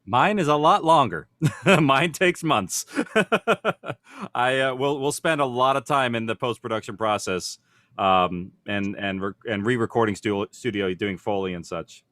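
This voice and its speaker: A man's voice: mid-range voice, unhurried, a bit bright, no room sound. A man speaking as a producer on an informal panel, explaining his working process conversationally. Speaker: audio drama producer and panelist.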